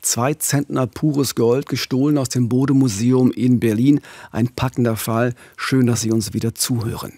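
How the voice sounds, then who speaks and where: A man's voice: mid-range voice, steady tone, normal speed, dark tone. A middle-aged man co-hosting a true-crime podcast, presenting and explaining things in a studio. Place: small radio studio. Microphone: close studio microphone.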